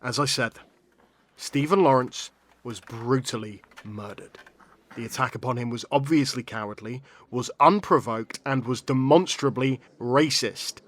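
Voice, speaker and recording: A man's voice: mid-range voice, measured pace, fairly bright tone. A man playing a defensive, formal witness under questioning, clipped and insistent, in a courtroom drama. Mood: firm, irritated.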